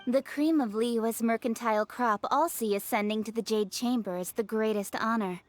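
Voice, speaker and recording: A woman acts out a young, high-ranking lady of a fantasy game city, with a fairly high voice. She is proud and frank, speaking in a crisp formal manner full of self-assurance. Music plays softly behind her. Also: normal speed, lightly acted. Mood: brisk, courteous. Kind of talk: video game voice acting.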